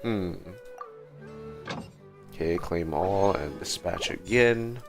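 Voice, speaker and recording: A man giving casual livestream commentary as he plays a game, musing aloud in a halting, hesitant way. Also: deep voice. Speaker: livestream host playing a game. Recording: game music behind the voice. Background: video game music.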